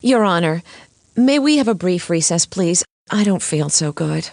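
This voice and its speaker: A woman voicing a sensible but anxious cartoon lawyer with a measured, teacherly manner. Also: lowish voice, lively intonation, darkish tone, no room sound, clean studio sound. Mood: worried. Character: sensible, anxious female lawyer mentoring a boy. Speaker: lawyer character. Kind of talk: animated courtroom cartoon voice acting.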